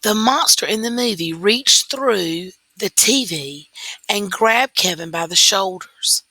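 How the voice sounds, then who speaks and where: A woman reading a children's story aloud in a deliberate, expressive way, stressing key words. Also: medium pitch, dry recording, rough recording. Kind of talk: scripted story read-aloud.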